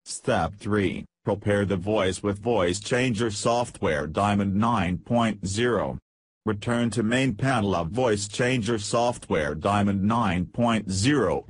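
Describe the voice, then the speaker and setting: A man reads a scripted step-by-step software tutorial in a measured, instructional manner. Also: fairly low pitch, slightly rough, dry recording.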